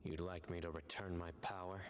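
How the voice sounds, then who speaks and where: A man voicing a stoic, principled young judge in a video game, measured and serious. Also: low voice, flat delivery, background noise, close to an everyday voice. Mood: grave, controlled. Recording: dramatic music under the voice.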